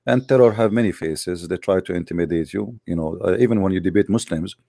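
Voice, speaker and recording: A Middle Eastern man with an Arabic accent, a guest on an audio call, talking haltingly with many fillers. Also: sits low, vocal fry, mellow, no room sound, studio quality. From Middle East.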